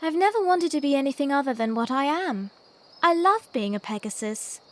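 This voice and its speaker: Audio drama part: earnest female pony security chief, woman actor, high register, long reverb on the recording. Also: animated, brisk, slight background noise. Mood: curious, friendly. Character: dutiful female pegasus lieutenant, chief of security. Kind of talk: fan-made radio drama acting.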